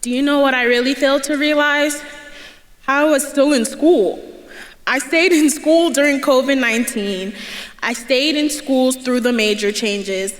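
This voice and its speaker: Young woman, high voice, slow earnest speech, commencement podium, roomy hall echo. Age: young adult. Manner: earnest, laughs between lines, raises voice to cheer the crowd. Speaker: student commencement speaker. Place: large indoor ceremony hall. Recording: echoey hall with crowd ambience.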